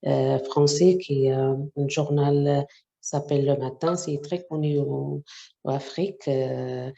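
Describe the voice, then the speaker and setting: A woman journalist whose first language is Arabic, speaking haltingly with many hesitations in a webinar discussion over a video call. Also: sits low, steady tone, gravelly, dark tone, highs cut off. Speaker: journalist, section editor.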